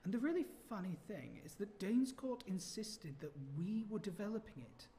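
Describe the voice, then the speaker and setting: An actor in a period radio drama playing a young, well-spoken English ex-soldier, jovial and full of old-fashioned exclamations. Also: male voice, sits high, sing-song, normal speed, dark tone, slight background noise. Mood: wry storytelling.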